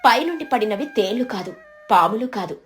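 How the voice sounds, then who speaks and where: High-pitched woman narrating a story. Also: expressive, fairly quick, faint music behind. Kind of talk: scripted narration of a moral story.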